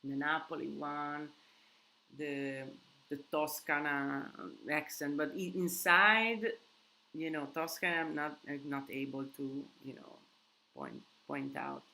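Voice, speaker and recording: A woman's voice: low-pitched, slow pace, creaky, sharp tone, slight background noise, rough recording. A woman hosting a live Q&A in English that is not her first language, rambling casually with many restarts and fillers. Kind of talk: casual live-stream chat with viewers. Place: countryside home.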